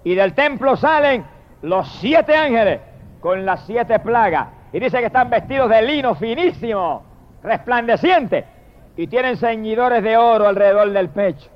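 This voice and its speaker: A man's voice: very high-pitched, lively intonation, measured pace. A man preacher delivering a fervent evangelical sermon in an emphatic, declamatory way, drawing out exalted phrases and addressing listeners directly. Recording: dull lo-fi sermon recording.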